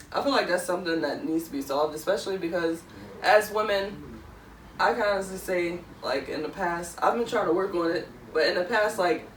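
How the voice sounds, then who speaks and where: A woman, co-hosting a podcast in casual chat, rambling with many fillers. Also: on the low side. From Philadelphia. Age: 20s. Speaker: podcast co-host. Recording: echoey room, mic far off, faint noise.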